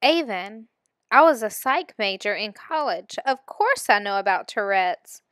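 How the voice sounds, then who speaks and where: Woman acting brisk, bright-voiced no-nonsense mom. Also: mid-range voice, animated, no room sound, clean studio sound. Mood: annoyed. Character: lively, teasing, no-nonsense mother of a teenage girl.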